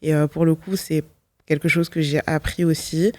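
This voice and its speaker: A woman's voice: low-pitched, fairly even intonation, moderate pace, dark tone, no room sound. Reflective young woman, close dry sound. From Belgium. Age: 20s. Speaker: guest, law student giving testimony. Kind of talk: personal testimony in an interview.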